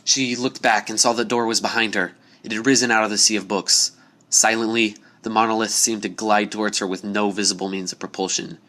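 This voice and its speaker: A man's voice: lowish voice, steady tone, brisk, pushed and bright. A man reading a scripted story aloud as narrator, in a dramatic storytelling style.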